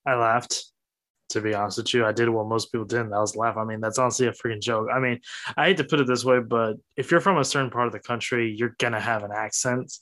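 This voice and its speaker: A man's voice: medium pitch, fairly even intonation, fast talker, a bit bright. A man with an Upper Midwest accent, ranting bluntly on a casual sports podcast. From Wisconsin, US Midwest. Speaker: podcast co-host.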